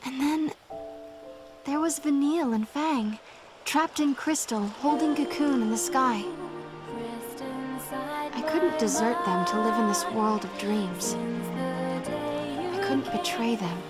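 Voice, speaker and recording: A woman playing a gentle, earnest young woman, anxious and bewildered yet resolved, in a dramatic video game. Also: high-pitched, animated, takes it slowly, pauses often. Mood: sorrowful resolve. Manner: earnest, hesitant, questioning. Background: music.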